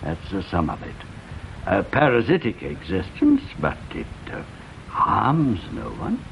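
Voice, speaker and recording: An actor playing a self-deprecating, dryly philosophical male shopkeeper in a radio drama, speaking deliberately. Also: fairly low pitch, huge pitch swings, gravelly, full character voice. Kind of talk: BBC radio drama acting. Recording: dull, slightly noisy radio recording.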